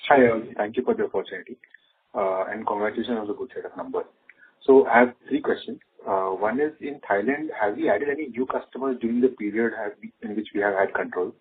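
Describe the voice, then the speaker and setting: A man's voice: lowish voice, quick pace, breathy edge. A man, an analyst asking questions on an earnings call. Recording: narrow phone-like line.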